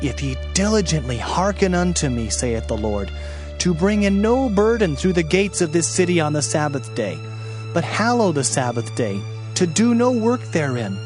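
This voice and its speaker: A man narrates scripture in a solemn, dramatized style. His voice sits fairly high and moves expressively in pitch, with deliberate, declamatory phrasing over background music. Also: sing-song, darkish tone. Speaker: audio Bible narrator.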